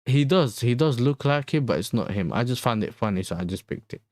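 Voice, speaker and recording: A man's voice: medium pitch, lively intonation, brisk, darkish tone, dry recording, studio quality. A man from the Netherlands with a London accent, chatting casually as a football livestream host in slangy, conversational, repetitive phrasing. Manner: slangy, conversational, repeats himself. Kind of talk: casual livestream chat answering viewers.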